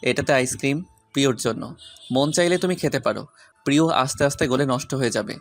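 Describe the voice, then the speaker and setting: A man's voice: mid-range voice, fairly quick, vocal fry, no room sound, faint music behind, close to an everyday voice. A man playing a stern, brooding young romantic lead in an audio story. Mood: stern, teasing.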